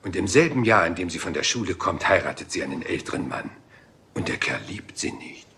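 A humble detective, hesitant, apologetic, lowish voice, very lively pitch, radio crime drama. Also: male voice, a little fast, a little hiss or hum. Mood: musing. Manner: hesitant, apologetic, rambling questions. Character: rumpled humble male police inspector, persistent. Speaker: police inspector.

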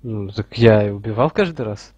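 A man's voice: lowish voice, lively intonation, moderate pace, darkish tone, slightly rough, no echo, dull audio. A young man arguing casually with teammates over gaming voice chat with slight noise and clicks. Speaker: team player in online shooter match.

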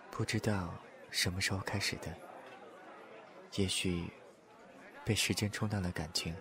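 A man playing a gentle, reserved young man in an audio drama, speaking candidly and softly in measured, short phrases. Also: low voice. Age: young adult. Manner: soft-spoken, measured, pausing between short phrases. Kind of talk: Chinese audio drama acting, confessional. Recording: music and crowd chatter behind voice. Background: music and crowd.

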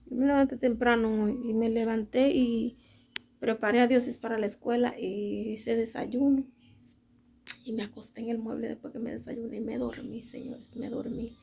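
A woman's voice: fairly high pitch, gravelly, dark tone, slight background noise. Chatty woman vlogging at home. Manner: chatty and candid, talks while doing housework. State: dry, sore throat. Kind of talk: casual chat to camera. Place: small home, indoors. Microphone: handheld phone camera at arm's length. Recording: music in the background.

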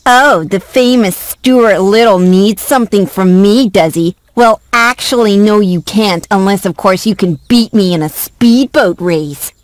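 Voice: mid-range voice, unhurried, few pauses, no echo, close to an everyday voice. An actor voicing a snobbish, obnoxious young boy rival in a game, sneering, boastful and grudging. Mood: smug, grudging. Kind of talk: scripted game cutscene dialogue.

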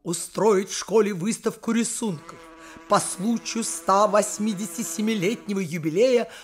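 A woman's voice: medium pitch, normal speed, soft background music, close to an everyday voice. A woman playing a solemn, formal schoolteacher. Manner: formal, declamatory. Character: stout schoolteacher Marya Ivanovna, solemn and proper. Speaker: teacher.

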